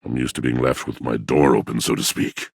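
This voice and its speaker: An actor playing a brooding, melancholy washing machine in a video game, a wounded poet with a gravelly rumble and florid, pompous, theatrical delivery. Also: male voice, flat delivery, a little fast, no echo, studio quality, full character voice. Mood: gloomy, courteous. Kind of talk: video game character voice acting.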